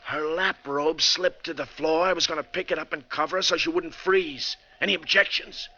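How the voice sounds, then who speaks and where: An actor playing a tough, wry bus driver who narrates a thriller in colloquial old-time radio style. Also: male voice, fairly high pitch, steady tone, normal speed, bright, forceful tone, dull audio, full character voice. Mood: gruff. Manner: colloquial, hard-boiled storytelling. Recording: thin old radio recording, music behind.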